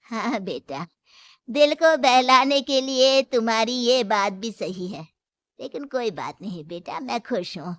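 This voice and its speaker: A woman playing a gentle, patient elderly mother-in-law who keeps a small shop in a Hindi moral story. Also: a little high, lively intonation, unhurried, dry recording, clean studio sound. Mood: sad, resigned.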